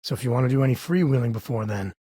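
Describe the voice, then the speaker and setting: A man voicing a rugged, good-hearted space explorer and father in a video game, with a husky rasp and a folksy, earnest way of talking. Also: mid-range voice, rapid-fire, dark tone, dry recording, clean studio sound, close to an everyday voice. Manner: folksy, colloquial, earnest delivery.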